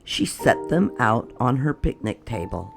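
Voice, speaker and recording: Children's read-aloud narrator: expressive and very lively in pitch, slow delivery, light music behind. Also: vocal fry. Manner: expressive, unhurried, addressing young children.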